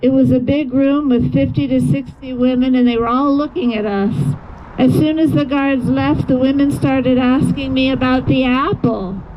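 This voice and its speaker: A woman with a fairly high voice delivers a testimony at an outdoor rally. She is measured and solemn, on a slightly muffled recording with faint traffic noise. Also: steady tone. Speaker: rally speaker giving a testimony. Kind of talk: first-person account read aloud. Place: outdoor rally near a road. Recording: dull lo-fi audio, faint vehicle noise.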